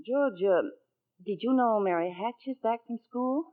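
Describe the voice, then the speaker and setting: A woman's voice: fairly high pitch, moderate pace, lightly acted. An actress playing a fond, meddling middle-aged small-town mother in a 1940s radio drama. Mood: fond, nudging. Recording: tinny band-limited 1940s radio broadcast.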